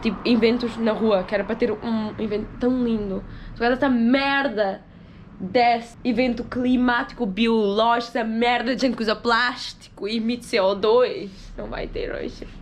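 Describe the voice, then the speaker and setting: Young woman with a portuguese accent vlogging on her phone, kinda high voice, chatty and rambly, noisy background. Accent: European Portuguese. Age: 20s. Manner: chatty, rambling, swears casually. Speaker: travel vlogger. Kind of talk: casual vlog chat to camera. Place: hostel room and streets, indoors and outdoors.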